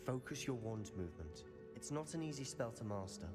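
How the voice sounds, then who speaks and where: An actor playing a confident young male wizard student who calmly persuades a friend to learn a forbidden spell. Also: medium pitch, brisk, natural voice. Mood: persuasive. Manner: calm, persuasive, conspiratorial. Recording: game voice over loud music. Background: game music.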